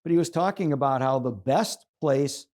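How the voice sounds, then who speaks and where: A man's voice: mid-range voice, normal speed, a little mellow. A man hosting a conversational tips segment, explaining things step by step in an articulate way. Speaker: host and audio reviewer.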